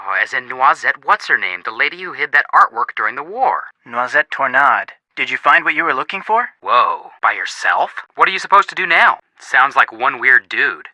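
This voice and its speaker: A man voicing an eager, wisecracking young American amateur sleuth in a video game, expressive and earnest. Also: medium pitch, moderate pace, no echo, full character voice, rough recording. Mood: wry, curious. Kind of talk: video game phone dialogue acting.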